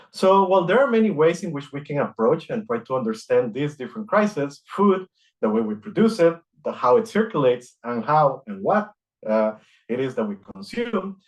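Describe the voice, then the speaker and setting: A middle-aged man from Costa Rica giving an academic lecture, reading from notes in a measured way with frequent "uh" fillers. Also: mid-range voice, rough recording. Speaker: guest lecturer.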